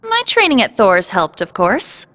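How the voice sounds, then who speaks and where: Spirited, animated young cadet. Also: female voice, mid-range voice, measured pace, long reverb tail. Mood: bashful, playful. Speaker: voice actor. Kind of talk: video game cutscene acting.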